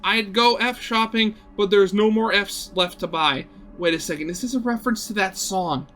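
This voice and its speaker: A man reading a visual novel aloud as expressive narration, dramatic, with brief conversational asides. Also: high-pitched, moderate pace. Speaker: video game let's player reading a visual novel aloud.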